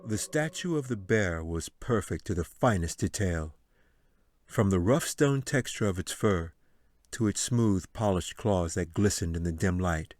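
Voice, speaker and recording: Low-voiced man narrating dramatic fantasy. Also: animated, a little slow, darkish tone. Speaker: author reading his audiobook.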